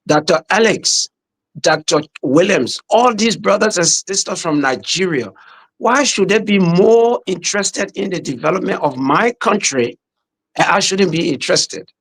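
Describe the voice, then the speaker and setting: A man's voice: high voice, expressive, a little slow, fairly bright tone, slightly rough, low-quality recording. A middle-aged man with a Liberian accent, a minister speaking earnestly in a casual podcast discussion. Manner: earnest, patriotic, repeats words as he talks.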